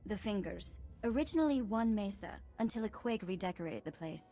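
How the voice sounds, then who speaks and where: Woman as mellow, wry sci-fi scout. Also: medium pitch, expressive, brisk, faint music behind, lightly acted. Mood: wry briefing. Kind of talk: video game cutscene acting.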